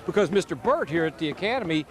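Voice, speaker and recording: Proud fire official, somewhat high voice. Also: male voice, big pitch swings, fast. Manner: plainspoken, proud, with restarts. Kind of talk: on-site interview. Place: outdoors at a high school. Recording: crowd noise outdoors.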